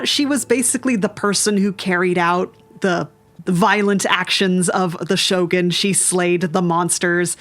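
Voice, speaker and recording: A woman gaming host with a medium-pitched voice, informal and given to giggling. She comments on the game and reads from it, with faint game music behind her. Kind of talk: casual game playthrough commentary and reading dialogue aloud.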